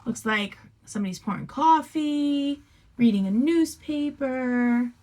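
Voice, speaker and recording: A woman reading a picture book aloud to young children like a teacher, with expressive, deliberate phrasing and friendly asides. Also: sits high.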